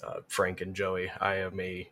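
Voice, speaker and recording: A man's voice: low-pitched, completely flat delivery, unhurried, over a noisy crowd. A man appearing as a podcast guest, talking casually in a laid-back way. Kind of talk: casual chat.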